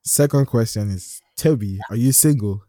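A man's voice: mid-range voice, moderate pace, warm tone, no echo, clean studio sound. A young man with a Nigerian accent co-hosting a podcast, chatting casually in a playful, teasing way and laughing often. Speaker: podcast co-host. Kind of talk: casual chat.

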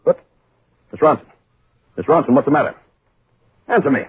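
An actor playing a bullying, bad-tempered middle-aged publisher, barking imperiously in 1940s radio style. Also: male voice, mid-range voice, expressive, normal speed, lightly acted. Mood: impatient. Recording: scratchy, noisy narrowband 1940s radio recording.

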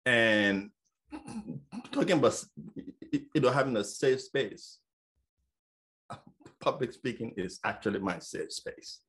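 A man's voice: mid-range voice, takes it slowly, long pauses, a bit bright. A man who works as a business coach, speaking on a casual panel. He stutters lightly, with repeated sounds and many "you know" fillers.

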